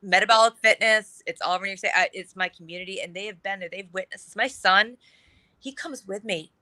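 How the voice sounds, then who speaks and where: A woman's voice: medium pitch, a little fast, sharp tone, dry recording. A middle-aged woman speaking as a guest in a casual talk-show conversation, candid and emphatic, running her thoughts together in long stretches.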